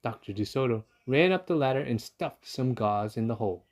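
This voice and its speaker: A man's voice: medium pitch, lively intonation, a little fast, a little mellow. A man narrating a children's story from a book, as a read-aloud. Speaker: storybook reader.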